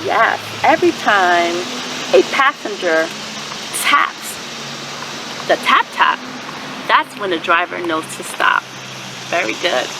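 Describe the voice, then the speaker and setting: A woman's voice: on the low side, very animated, noisy background. A woman reading a children's story aloud expressively, in a teacherly way that invites listeners to respond. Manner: teacherly, asks listeners questions and pauses to affirm answers. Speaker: storyteller and host of a children's read-aloud channel.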